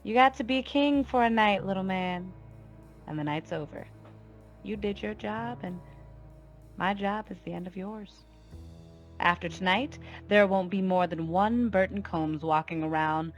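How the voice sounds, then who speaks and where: A woman's voice: mid-range voice, lo-fi sound, faint music behind, lightly acted. An actress playing a cold, commanding female gangster boss in a noir radio play, taunting and full of threat. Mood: scornful taunting. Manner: taunting, deliberate, imperious. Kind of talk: 1940s radio noir acting.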